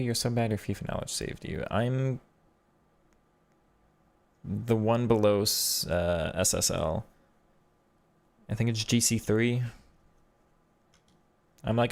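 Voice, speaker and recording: Male streamer, lower register, loose and chatty, talks through tactics off the cuff. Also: gravelly, darkish tone. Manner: chatty, thinks aloud with frequent restarts. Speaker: streamer and game coach. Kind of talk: casual live-stream commentary reading chat.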